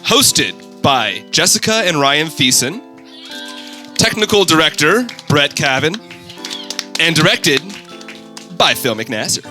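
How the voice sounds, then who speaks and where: A man's voice: on the high side, sing-song, slow, pauses often, pushed and bright. A man reading scripted show credits as an announcer. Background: plucked guitar music.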